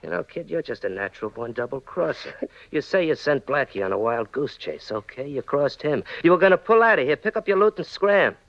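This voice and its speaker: Casting: cold-blooded crook, slangy and menacing, gravelly edge, old radio crime drama, dull dry sound. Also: male voice, fairly high pitch, very animated, fairly quick, a bit bright, no echo. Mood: angry, accusing. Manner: slangy tough talk, mock-tender threats. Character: menacing, cold-blooded male crook turning on his partner.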